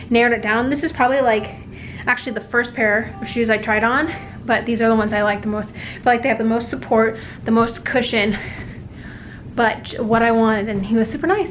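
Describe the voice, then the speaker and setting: Young adult woman, casual vlogger, slightly high voice, rambling and upbeat, faint background hum. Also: rough recording. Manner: chatty, upbeat, rambling with many likes. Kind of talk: casual vlog chat. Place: at home and out and about. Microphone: handheld compact camera.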